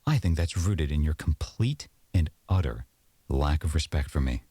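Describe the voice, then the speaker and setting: A man's voice: very deep voice, lively intonation, moderate pace, warm tone, husky, no room sound, exaggerated voice. A man playing an aggrieved, sarcastic boyfriend arguing at home in an audio roleplay, accusatory and exasperated. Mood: indignant. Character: aggrieved, sarcastic boyfriend in a heated domestic argument.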